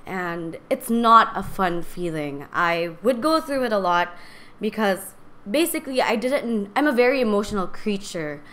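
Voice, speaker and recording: A woman in her twenties speaks at a medium pitch with a raspy, overused voice that shakes and cracks. She hosts a casual live podcast, chatting candidly and rambling a little as she addresses her viewers. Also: animated, a little slow, a bit bright. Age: 20s. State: strained voice from singing and talking too much. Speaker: podcast host. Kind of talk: casual personal talk to live stream viewers.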